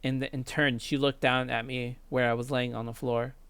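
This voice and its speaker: A man's voice: mid-range voice, level tone, moderate pace, clear, resonant tone, no room sound. A man reading a visual novel aloud as a let's player, with dramatic narration. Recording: loud music under voice. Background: loud game music.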